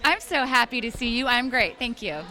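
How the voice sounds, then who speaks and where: A woman voice actress and singer chatting in a convention interview, bubbly and quick to laugh. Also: a little high, animated, sharp tone, a faint crowd.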